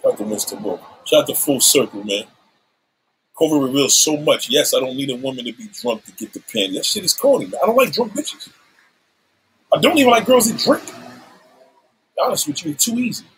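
A man's voice: on the high side, sing-song, brisk, long pauses, fairly bright tone, slight background noise, poor audio. A man in his seventies ranting on a livestream, combative and profane, issuing threats and calling out rivals. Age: 70s.

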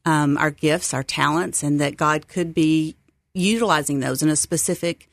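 A middle-aged woman from Texas talks as an interview guest. Her voice sits a little low for a woman and sounds upbeat and earnest. The sound is close and dry. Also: moderate pace, creaky, no echo. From Texas, United States. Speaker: interview guest, seminary site coordinator. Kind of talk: conversational radio interview.

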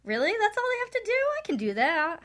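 A woman's voice: very high voice, wildly swooping pitch, fast talker, fairly bright tone. A woman guest on a talk radio show, chatting frankly and laughing often. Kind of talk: candid talk radio chat.

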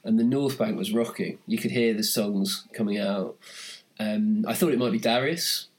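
Low-voiced man telling a rambling story. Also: normal speed. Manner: dry humor, rambling anecdotes with fillers. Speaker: podcast co-host. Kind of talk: casual chat.

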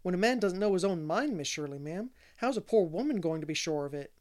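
A man voicing an excitable young servant girl who is wide-eyed and gossipy, with breathless, folksy, ungrammatical speech in a storybook reading. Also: high voice, fairly quick. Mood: anxious, gossipy.

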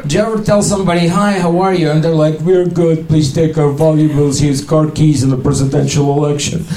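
Man with a Russian accent, deadpan. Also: fairly high pitch. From Ukraine. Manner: deadpan, halting, with many fillers. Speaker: stand-up comedian. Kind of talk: stand-up set. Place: comedy club with a crowd. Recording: echoey club, loud room noise.

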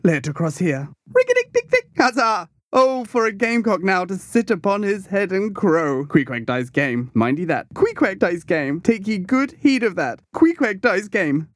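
A man's voice: high-pitched, sing-song, moderate pace, hardly any pauses, dry recording, studio quality, pitched above the performer's own voice. A man voicing a mad young cabin boy in an audiobook, grieving and frenzied, with wild chanting and repeated laments. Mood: wild, scornful.

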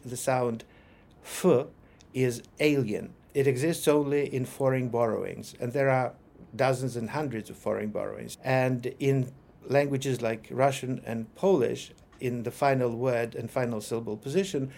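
A man, a lecturer and linguist, explaining pronunciation in a measured, precise way with pauses and fillers. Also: mid-range voice, darkish tone. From Ukraine. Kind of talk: explanatory interview answers.

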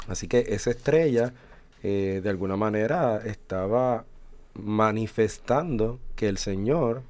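A man's voice: lowish voice, measured pace, darkish tone. A man in his forties co-hosting a religious radio chat, explaining thoughtfully with hesitant fillers. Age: 40s. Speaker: radio show co-host.

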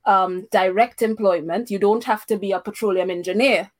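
A woman's voice: mid-range voice, steady tone, normal speed. Hesitant female host from Trinidad and Tobago. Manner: hesitant, many ums and restarts. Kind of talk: casual discussion.

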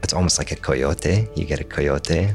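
A man's voice: a bass voice, normal speed, gravelly, a little mellow, soft background music. A young man born in Jordan who grew up speaking Arabic, a Spanish teacher answering interview questions thoughtfully and restarting his words often.

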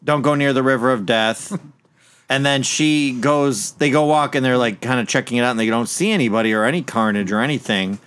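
A man's voice: medium pitch, brisk, fairly bright tone, smooth. A man chatting casually on a podcast, recapping the story in rambling run-on sentences. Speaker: podcast co-host. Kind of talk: casual chat.

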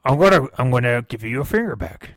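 A man's voice: medium pitch, sing-song, fast, no room sound, lightly acted. A man voicing a mischievous, biting male demon pirate parrot in an improvised comedy bit, growling pirate "yarg"s. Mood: menacing boastful.

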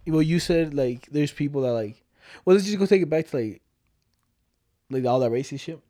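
A man's voice: a little high, normal speed, warm tone. A young man with a smoky voice, co-hosting a podcast in casual chat, rambling with lots of fillers and slang. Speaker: podcast co-host.

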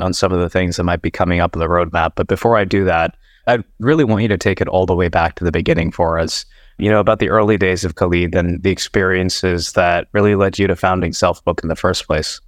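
A man's voice: low-pitched, fairly even intonation, a little fast, no echo, clean studio sound. A man hosting an interview, asking questions in a conversational way. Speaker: podcast host.

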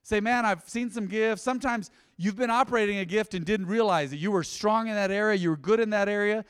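Lively high-voiced male pastor preaching. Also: a little fast, clear, resonant tone, long reverb tail. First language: English. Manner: animated, conversational preaching with many asides. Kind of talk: sermon. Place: church.

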